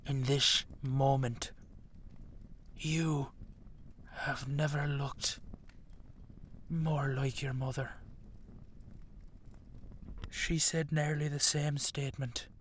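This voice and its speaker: Man as weary dragon king father, audio roleplay, stern, regal, deliberate pace, restrained even intonation. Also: medium pitch, slight background noise. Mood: grave, reflective. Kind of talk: scripted audio roleplay drama.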